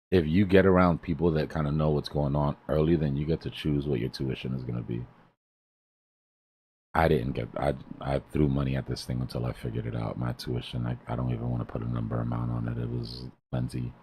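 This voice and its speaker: A man's voice: extremely low pitch, level tone, brisk, dark tone, a little hiss or hum. A man hosting a live trading stream, talking casually like a mentor in a blunt, emphatic way and repeating himself for stress.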